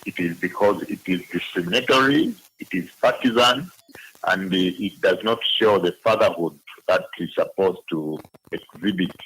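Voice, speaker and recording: A man with a Zambian accent, an advocacy director, giving an unscripted interview comment in a deliberate, reasoning way. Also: sits low, a bit bright, husky, rough recording.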